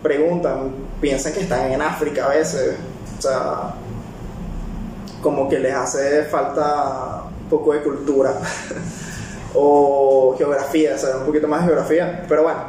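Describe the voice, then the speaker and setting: Venezuelan male barista, casual rambler, distant mic with steady noise behind. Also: fairly high pitch, measured pace, very echoey. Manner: rambling, many fillers and restarts. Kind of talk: casual personal talk.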